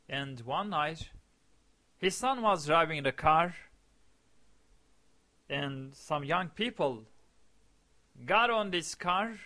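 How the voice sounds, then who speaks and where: Slow, bright-voiced man. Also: on the high side. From eastern Turkey. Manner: slow, deliberate, repeats phrases as he searches for words. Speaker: storyteller. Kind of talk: personal anecdote told in English.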